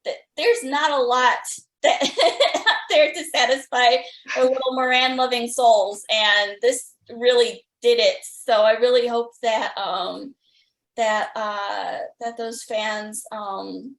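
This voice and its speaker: A woman hosting a podcast, talking as an enthusiastic fan in a gushing, halting way. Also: fairly high pitch, steady tone, a bit bright. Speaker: podcast host interviewing guests.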